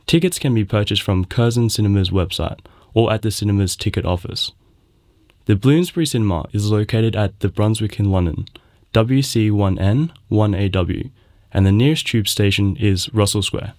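A man's voice: on the low side, moderate pace, a little mellow. A man reading scripted arts listings as a community radio presenter. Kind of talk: scripted events listing narration.

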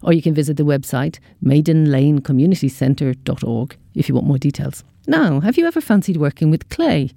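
Relaxed, slightly creaky radio presenter. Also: mid-range voice, brisk, few pauses, no echo, studio quality. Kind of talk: scripted events listing narration.

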